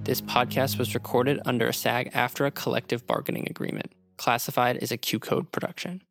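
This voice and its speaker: A man's voice: mid-range voice, flat delivery, a little fast, vocal fry. A man reading scripted credits as an announcer. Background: music.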